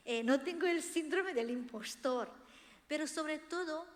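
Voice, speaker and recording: Romanian-native lady author talking earnestly to a room, highish voice, big hall reverb. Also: a little slow. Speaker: author presenting her book. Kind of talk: personal talk to an audience. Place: hall of a cultural institution, before a seated audience. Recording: echoey hall with long reverb.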